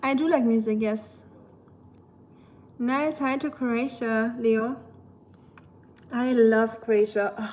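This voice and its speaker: A woman's voice: a little high, steady tone, takes it slowly, long pauses, a little mellow. German-accented woman chatting on a livestream. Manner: chatty, laughs often, playful asides. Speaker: livestream host. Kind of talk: casual chat reading viewer messages.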